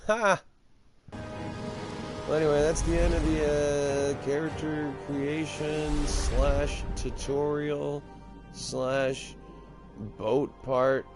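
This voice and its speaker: A man's voice: a little high, little pitch movement, drawn-out words. A man with a stuffy nose giving casual, snarky commentary while playing a game. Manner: snarky, offhand. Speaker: let's play host. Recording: gameplay with loud game music.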